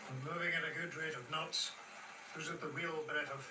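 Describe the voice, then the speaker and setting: An actor playing a weary, devout, commanding sea captain in a radio drama. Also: male voice, a little high, steady tone, normal speed, lightly acted. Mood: grim, weary. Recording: echoey, distant, very noisy recording.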